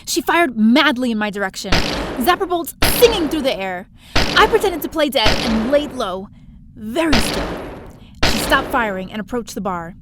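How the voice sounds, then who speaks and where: A woman's voice: on the high side, sing-song, moderate pace, heavy background noise. A woman playing a young space adventurer, telling her own story expressively in an audio drama. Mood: tense, dramatic. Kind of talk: first-person audio drama narration.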